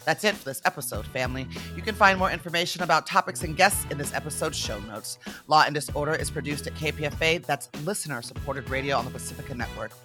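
A woman's voice: deep voice, fast talker. A woman hosting a podcast, reading a scripted outro in an upbeat, announcing manner. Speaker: podcast host. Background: faint music.

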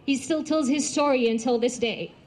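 A woman's voice: high-pitched, moderate pace, noisy background. A young woman from Gaza speaking at an outdoor rally, telling personal stories earnestly with fillers and restarts. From Gaza, Palestine. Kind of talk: outdoor protest speech.

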